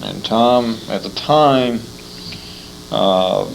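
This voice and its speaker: An American man conducting a conversational interview, haltingly recounting details and repeating words as he goes. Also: fairly low pitch, creaky, a little hiss or hum, rough recording.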